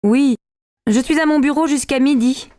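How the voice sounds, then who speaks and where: A woman acting out scripted dialogues for learners, speaking carefully and clearly. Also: high voice, moderate pace, mellow, dry recording, clean studio sound.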